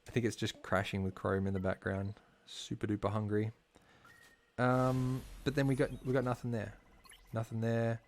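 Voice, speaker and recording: A man talking casually while live-streaming a game, slightly hoarse, chatty and full of fillers, from a home streaming setup. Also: lowish voice, level tone, moderate pace, a little hiss or hum. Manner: chatty, filler-heavy, talks while playing. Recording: clear background music under voice.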